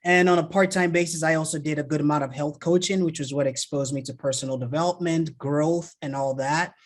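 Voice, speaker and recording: A man in his thirties from Nigeria, a podcast guest telling his life story in a relaxed, friendly way. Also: fairly high pitch, a little fast. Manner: relaxed and friendly, laughs easily. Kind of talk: casual storytelling.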